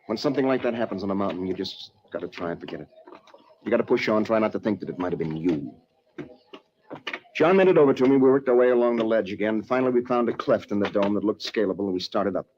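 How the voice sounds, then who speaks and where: A man's voice: fairly low pitch, animated, fast, darkish tone, close to an everyday voice. An actor playing an earnest, stubborn young mountaineer in an old-time radio drama, dramatically telling of his climb. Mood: grave, suspenseful narration. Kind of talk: old-time radio drama acting and first-person narration. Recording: dull, noisy old radio recording.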